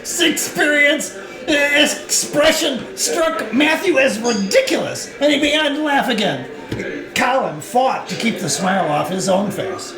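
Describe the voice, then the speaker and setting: A man reads fiction dramatically in a strikingly high, swooping voice. His delivery is slow and laughter tends to creep into it. The room is echoey, with music in the recording. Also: lively intonation. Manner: breaks into laughter while reading. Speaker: reader. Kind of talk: dramatic novel reading.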